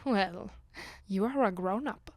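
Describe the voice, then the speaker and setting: Casting: woman as seductive aristocratic female vampire, Italian accent, unhurried commanding delivery, close dry ASMR sound. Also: medium pitch, no room sound. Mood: teasing, sultry. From Italy. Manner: imperious, playful, unhurried, breathing into whispers. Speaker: voice actress. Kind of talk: ASMR roleplay.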